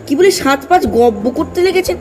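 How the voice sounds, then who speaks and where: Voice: sits high, expressive, quick pace, darkish tone. A performer in a comic audio drama playing a weary, thirsty male traveller who is polite but exasperated. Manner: pleading, exasperated, polite address. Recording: music behind the voice.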